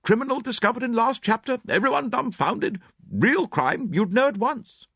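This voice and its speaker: A man's voice: extremely high pitch, lively intonation, moderate pace, vocal fry, a bit bright, breathy edge, no room sound, clean studio sound, pitched above the performer's own voice, exaggerated voice. A man reading aloud and voicing a brusque, blunt middle-aged woman who speaks in clipped, curt phrases. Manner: clipped and curt, drops words.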